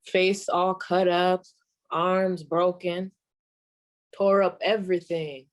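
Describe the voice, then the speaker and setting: Sassy old female stand-up played by a woman, 1940s radio act, low-pitched, very unhurried, folksy deadpan storyteller. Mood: wry storytelling. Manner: folksy, deadpan, drawn-out delivery with deliberate pauses. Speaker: comedian performing a monologue.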